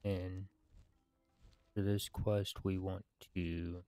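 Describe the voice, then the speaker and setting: A low-voiced man in his thirties reads video game quests aloud at a slow pace. He speaks in deliberate, measured phrases with short pauses, over game music. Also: level tone, dark tone, dry recording. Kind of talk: scripted read-aloud with casual gameplay narration.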